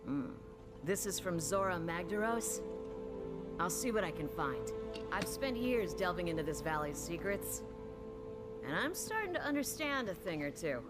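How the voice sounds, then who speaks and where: A woman playing a seasoned, self-assured field researcher in a video game cutscene, calm, probing and matter-of-fact. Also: on the low side, normal speed. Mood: thoughtful, brisk. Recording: game cutscene with loud background music.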